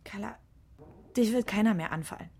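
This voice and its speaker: A woman's voice: medium pitch, brisk, vocal fry, warm tone, slight background noise, close to an everyday voice. An actress playing a jealous, venomous, scornful woman in a radio crime drama. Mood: coolly threatening.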